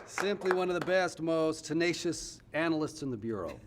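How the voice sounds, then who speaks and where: An actor playing a proud middle-aged team leader giving a halting, effusive speech of thanks in a film. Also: male voice, fairly high pitch, lively intonation, a loud crowd, natural voice. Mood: grateful, proud. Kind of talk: informal award acceptance speech, film acting.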